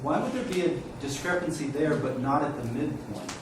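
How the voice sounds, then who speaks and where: A man's voice: fairly high pitch, lively intonation, normal speed. A man asking a spontaneous question as a student in a classroom. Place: lecture room, far from mic. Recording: very echoey, distant mic, noisy room.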